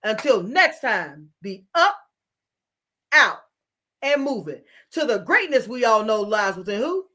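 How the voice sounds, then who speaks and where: High-voiced woman hosting a talk show. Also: measured pace. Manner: animated, laughs often, repeats words. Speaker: show host and business mentor. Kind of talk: casual panel talk show.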